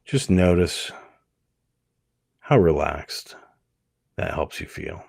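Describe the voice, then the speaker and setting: A man leading a guided hypnosis meditation, speaking gently and soothingly in short phrases with many pauses. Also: sits low, animated, gravelly, breathy edge, no echo, clean studio sound.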